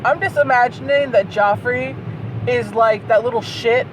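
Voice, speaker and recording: A woman's voice: fairly high pitch, moderate pace. A woman reading fan fiction aloud in a dramatic way, breaking into incredulous, sweary casual asides. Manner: incredulous, sweary commentary between read passages. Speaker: host reading and mocking bad fan fiction. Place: inside a moving car. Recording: car interior, clear road noise. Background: clear vehicle noise.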